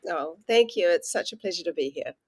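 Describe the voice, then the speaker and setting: A woman from New Zealand with a Kiwi accent, a podcast guest who talks in a relaxed, thoughtful and slightly halting way. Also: fairly low pitch, breathy edge, no echo. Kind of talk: casual interview chat.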